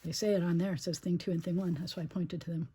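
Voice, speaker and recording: A woman reading a children's storybook aloud in an expressive, emphatic way, with chatty asides to young listeners. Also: fairly low pitch, fast, breathy edge.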